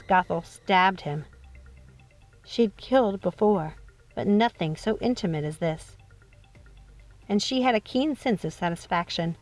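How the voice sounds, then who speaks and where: A woman reading a dark storybook tale as a dramatic audiobook narrator, with music playing behind her. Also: mid-range voice, rough recording. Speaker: audiobook reader.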